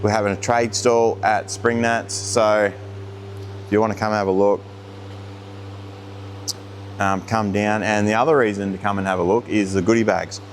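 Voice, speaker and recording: A man's voice: lowish voice, steady tone, normal speed, long pauses. An Australian man talking casually and off the cuff as a car workshop vlogger, laid-back and plain-spoken with colloquial phrasing. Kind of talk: casual unscripted vlog talk. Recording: clear background noise of cars.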